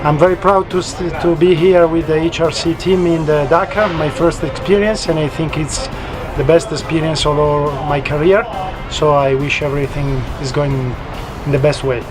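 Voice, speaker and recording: A man from Italy, introducing himself loudly and eagerly as a team mechanic outdoors. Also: a little high, steady tone, normal speed. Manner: loud, eager, non-native English. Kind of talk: short self-introduction to camera. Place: outdoors by a service truck. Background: background music.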